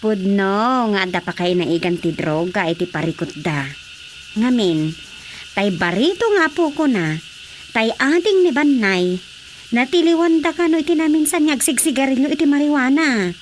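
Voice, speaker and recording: A woman's voice: fairly high pitch, lively intonation, normal speed, slight background noise, close to an everyday voice. A woman voice-acting a chatty, earnest village wife in a radio drama.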